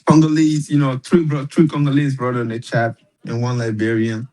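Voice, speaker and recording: A man hosting a podcast as casual chat, speaking in slang and haltingly, often repeating his words. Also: medium pitch, flat delivery, darkish tone, low-quality recording. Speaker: podcast host.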